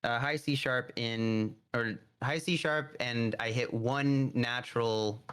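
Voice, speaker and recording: A young adult man, a guest on a podcast, talking casually and getting animated when he rants. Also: slow, smooth. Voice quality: says he is a tenor with a naturally higher voice. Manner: animated, swings into loud, high mock outbursts when ranting. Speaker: podcast guest, powerlifter and singer. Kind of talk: casual chat.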